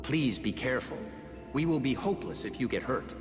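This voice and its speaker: A man's voice: medium pitch, animated, fairly quick, close to an everyday voice. An actor playing an anxious, protective male ally in a fantasy video game. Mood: worried warning. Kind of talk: video game cutscene acting. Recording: long reverb, very loud music behind.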